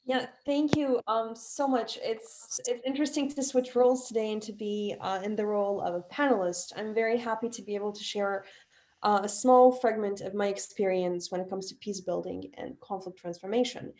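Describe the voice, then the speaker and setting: A woman's voice: medium pitch, normal speed, darkish tone. A woman in her twenties from Bosnia and Herzegovina speaking earnestly as a panelist, fluent with some fillers. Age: 20s. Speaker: panelist, facilitator. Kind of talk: online panel talk.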